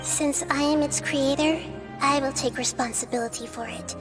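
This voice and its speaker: A woman voicing a gentle, curious young girl deity who speaks softly and inquisitively. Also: high voice, steady tone, moderate pace, natural voice. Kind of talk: video game cutscene dialogue. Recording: game audio with music behind.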